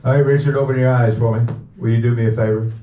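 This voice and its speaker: A man's voice: medium pitch, steady tone, fast talker. A man performing a live stage hypnosis comedy show, bantering crudely and profanely with the crowd. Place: indoor show venue. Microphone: audience camera far from the stage. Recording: distant, muffled, echoey venue with background noise.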